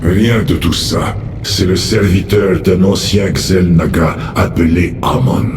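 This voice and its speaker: An actor playing a grave, brooding mutated alien ally in a video game dub, speaking solemnly. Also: male voice, low voice, fairly even intonation, noisy background. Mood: grave, warning. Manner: solemn, deliberate warnings. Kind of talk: dubbed video game cinematic acting. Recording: echoing room, music behind.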